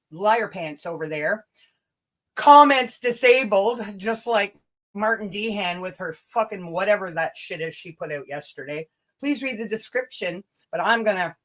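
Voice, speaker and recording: A woman's voice: mid-range voice, a bit bright. A Canadian woman hosting a casual, rambling livestream, sarcastic and sweary, drawing out words for emphasis. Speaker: livestream host. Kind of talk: casual, rambling livestream chat with mocking asides.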